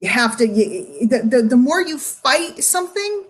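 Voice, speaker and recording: A middle-aged woman speaking emotionally and candidly to her online followers, confiding and emphatic, with drawn-out words and pauses. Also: sits high, big pitch swings. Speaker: online marketer addressing followers. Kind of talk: candid livestream monologue to followers.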